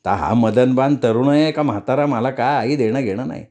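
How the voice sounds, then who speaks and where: A man's voice: medium pitch, normal speed, a little mellow, close to an everyday voice. A man voicing a greedy, scheming, freeloading neighbour who wheedles and mutters asides in a comic story.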